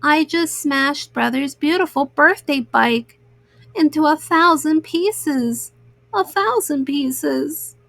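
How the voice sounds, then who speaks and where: A woman's voice: high-pitched, slow pace, vocal fry, fairly bright tone, no echo, heavily acted. A woman voicing a young girl bear cub in a storybook, earnest, plaintive and easily upset. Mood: distressed.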